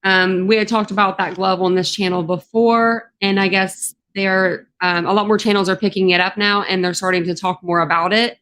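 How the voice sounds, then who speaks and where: A woman's voice: medium pitch, fairly bright tone. A woman with a Southern drawl, chatting casually on a livestream. Her voice is a bit scratchy from the morning, and she rambles with plenty of ums. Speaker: true crime YouTuber.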